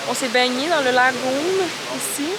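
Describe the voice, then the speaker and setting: A young woman with a Québécois accent and a head cold, chatting in a bubbly way for her travel vlog, outdoors on a phone. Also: high voice. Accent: Québécois French. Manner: bubbly, gushing, quick to laugh. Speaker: travel vlogger. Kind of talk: casual travel vlog chat. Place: outdoors in a mountain valley. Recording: loud outdoor noise.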